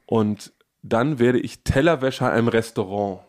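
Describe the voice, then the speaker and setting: A man's voice: medium pitch, normal speed. A man chatting casually as a podcast host, laying out absurd plans in earnest detail. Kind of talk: casual comedic chat.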